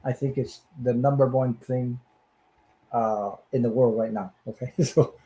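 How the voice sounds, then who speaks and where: Hoarse man giving a halting tarot reading. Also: mid-range voice, fairly even intonation, warm tone, poor audio. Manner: halting, searching for words. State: hoarse, losing his voice. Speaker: tarot reader guest. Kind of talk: casual tarot reading chat.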